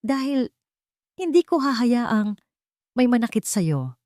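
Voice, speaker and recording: A woman acts out a devoted young Filipino businessman in a romance audio story. The words come earnest and tender, as steady declarations of love. The sound is dry and close. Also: on the high side, slow, no echo, voice pushed up.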